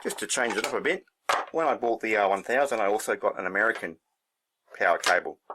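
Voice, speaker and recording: Aussie guy with a lowish voice, laid back, talking himself thru a hands-on demo. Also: fast talker, background noise. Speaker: hobbyist presenter. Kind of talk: casual hands-on demonstration, thinking aloud.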